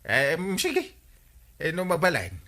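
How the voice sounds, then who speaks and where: Flustered village captain, hesitant sputterer, wildly swooping pitch, bright edge, Ilocano radio comedy. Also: male voice, huge pitch swings, measured pace, exaggerated voice. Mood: hesitant, awkward. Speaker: radio drama actor.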